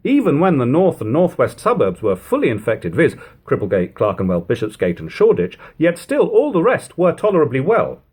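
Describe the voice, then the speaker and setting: A man reading an old literary text aloud as narration, in measured, emphatic phrasing. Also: a little high, wildly swooping pitch, a little mellow, slightly rough. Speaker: reader of a classic book. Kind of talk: audiobook-style literary narration.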